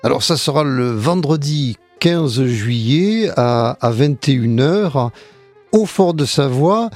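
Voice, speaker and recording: A man's voice: mid-range voice, lively intonation, takes it slowly, a little mellow, faint music behind. A man hosting a radio interview, announcing festival dates and introducing his guests in a friendly way. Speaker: radio host.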